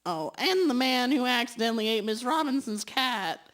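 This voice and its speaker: A woman playing a loyal, slow-witted detective's sidekick in a radio drama, with hesitant, drawn-out delivery. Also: on the high side, lively intonation, a bit bright. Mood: droll. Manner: hesitant, drawn-out, humming before answering. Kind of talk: amateur school radio drama acting.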